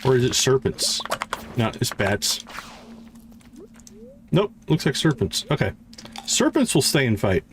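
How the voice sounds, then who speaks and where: A man gives casual live gameplay commentary, thinking aloud at an unhurried gait. His intonation is lively, and faint noise and clicks sit behind his voice. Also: mid-range voice. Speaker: game livestream host.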